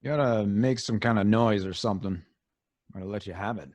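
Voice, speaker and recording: An actor playing a trigger-happy, hot-headed, slangy gangster sidekick in an old time radio crime drama. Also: male voice, lowish voice, brisk, darkish tone, no echo, lightly acted. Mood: blustering, suspicious. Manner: blustering, slangy, tough-guy.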